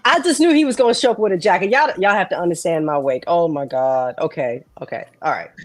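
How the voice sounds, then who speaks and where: Woman, lowish pitch, playful casual chat, dry-free roomy sound. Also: lively intonation, fast. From Texas, United States. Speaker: guest, saxophonist. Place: indoors, virtual show video call.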